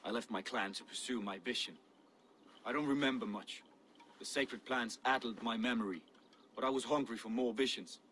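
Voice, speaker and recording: An actor playing an earnest Viking visionary in a video game, speaking in a solemn, deliberate, dramatic way. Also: male voice, mid-range voice, a bit bright, a little hiss or hum, lightly acted. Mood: solemn, fervent. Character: mystical Viking seer driven by visions, earnest. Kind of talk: video game cutscene dialogue.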